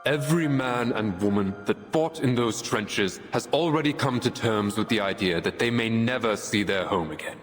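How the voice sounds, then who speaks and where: An actor playing an earnest, resolute military officer in a game, making a measured, formal and persuasive case to a tribunal. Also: male voice, fairly low pitch, close to an everyday voice. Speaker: soldier defending himself at a tribunal. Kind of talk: dramatic game voice acting. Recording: long hall reverb, music behind.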